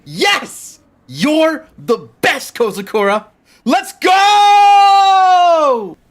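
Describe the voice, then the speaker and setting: A woman voicing a carefree, caring teenage schoolboy in an anime-style audio drama, earnest, teasing and expressive. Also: fairly low pitch, normal speed, slightly rough. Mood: elated.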